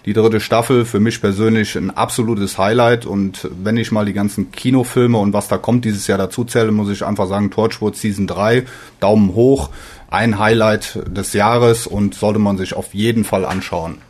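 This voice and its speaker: A man with a slightly low voice talks casually as a guest on a fan podcast. He phrases things haltingly, often doubling back on his words. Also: fairly even intonation, a little mellow.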